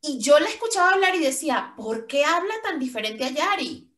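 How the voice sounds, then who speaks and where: A young woman with a softened Venezuelan accent, chatting casually as a podcast co-host. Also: sits high, big pitch swings, a little fast, echoey room. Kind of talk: casual chat.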